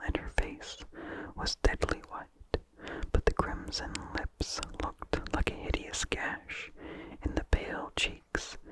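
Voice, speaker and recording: An ASMR reader delivers a vintage horror tale entirely in a breathy whisper, with no voiced tone at all. The pace is very slow and deliberate, with pauses between short phrases. Soft mouth sounds come through between the words. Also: low-quality recording. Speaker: story reader.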